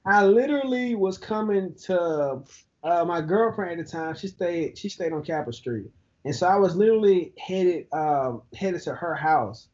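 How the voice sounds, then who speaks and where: A man, telling an animated story in a casual podcast chat. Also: moderate pace, lo-fi sound. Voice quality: high-pitched voice. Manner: animated storyteller who laughs easily.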